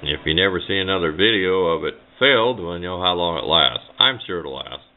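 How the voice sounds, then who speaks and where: Low-pitched man, tinny recording. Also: lively intonation, fairly quick, slight background noise. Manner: plain-spoken, rambling, offhand. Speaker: hobbyist presenter. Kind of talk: casual hands-on how-to demonstration. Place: indoors at a workbench. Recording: tinny, band-limited camera microphone sound.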